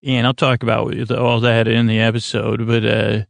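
A man with a gravelly, creaky voice at a middling pitch, recorded close and dry. He hosts a sleep podcast, drifting through halting tangents and correcting himself as he goes. The delivery is lulling and reassuring. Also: monotone, no echo. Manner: meandering, halting, full of tangents and self-corrections. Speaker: podcast host. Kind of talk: rambling bedtime sleep podcast monologue.

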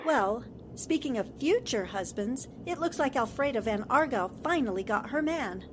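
A woman's voice: on the high side, animated, normal speed, background noise, natural voice. A woman playing a sensible, polite newspaperwoman with a composed, dry manner in a 1940s-style radio drama. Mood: wry.

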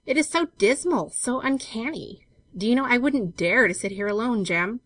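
A woman reading a story aloud, voicing an affectionate, nervy young woman in love with a light, expressive delivery. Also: on the high side, dry recording, voice pushed up. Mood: playful dread. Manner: light, expressive, quick to startle.

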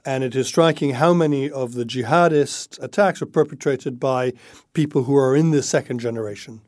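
A man's voice: mid-range voice, moderate pace, a little mellow, no room sound, clean studio sound. A man who works as a foreign affairs editor, explaining his analysis in an interview.

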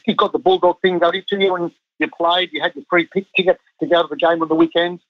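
A man's voice: high voice, little pitch movement, fast talker, dry recording. A man in his fifties, born in Chile, who coaches a national team, talking casually in an interview with many ums and restarts. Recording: muffled lo-fi dry sound.

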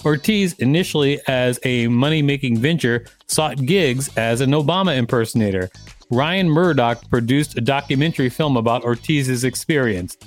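A man with a medium-pitched voice co-hosts a podcast. He reads encyclopedia-style text aloud and adds casual remarks. Faint music plays behind him. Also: normal speed. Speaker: podcast co-host. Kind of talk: reading an article aloud with casual commentary.

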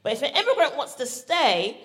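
A woman's voice: high-pitched, very animated, brisk, fairly bright tone. Brash South London woman doing stand-up. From Barbados. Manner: brash, punchy, playful with the crowd. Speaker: stand-up comedian. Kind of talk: stand-up comedy set. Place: live comedy venue with audience. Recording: live show with audience laughter.

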